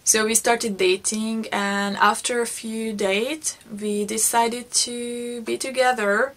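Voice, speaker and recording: Slow-speaking Hungarian woman vlogger. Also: on the high side, fairly even intonation, fairly bright tone. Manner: unhurried, reflective, frequent restarts. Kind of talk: personal storytelling vlog.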